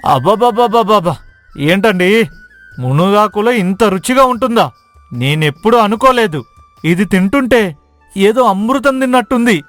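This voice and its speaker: Man acting effusive villager, high pitch, excitable, exclamatory, moral tale dialogue, faint music. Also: normal speed, long pauses. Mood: delighted. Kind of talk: acted moral story dialogue.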